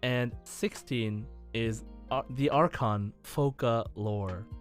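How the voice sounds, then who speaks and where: A man's voice: mid-range voice, takes it slowly. Male podcast host, conversational and jokey, mid-range voice, light music underneath. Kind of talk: casual gaming podcast chat.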